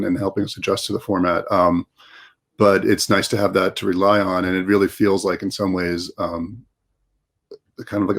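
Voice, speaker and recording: A man talking casually and unscripted as a panel guest, in a halting, thoughtful way. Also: low-pitched, creaky. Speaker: panel guest, writer and editor.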